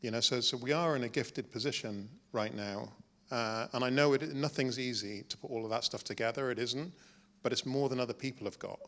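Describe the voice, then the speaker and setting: A man's voice: mid-range voice, a little fast, a bit bright. A man on a film panel who makes his points in an emphatic, persuasive way, often repeating words. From Wales. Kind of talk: panel discussion. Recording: hall reverb.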